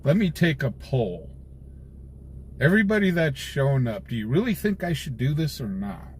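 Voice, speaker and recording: A man's voice: medium pitch, sing-song, normal speed. A middle-aged American man chatting casually with livestream viewers in a folksy, joking way from inside a vehicle. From United States. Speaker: livestream host, independent scanner chaser. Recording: live raw stream with vehicle noise. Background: faint vehicle and ambient noise.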